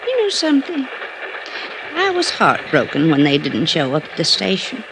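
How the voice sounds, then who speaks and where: An actress playing a frail, wistful older socialite in a radio drama, dreamy and halting, with a creaky voice. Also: female voice, lowish voice, huge pitch swings. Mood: wistful, hopeful. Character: frail, wistful old socialite woman clinging to illusions. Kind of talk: old-time radio drama acting. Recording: noisy vintage radio recording.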